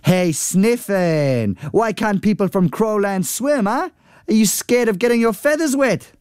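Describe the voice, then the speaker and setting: A man's voice: sits high, expressive, a little slow, a little mellow, smooth, dry recording, clean studio sound, voice pushed up. Man doing a sneering schoolboy bully. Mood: taunting. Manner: mocking, taunting.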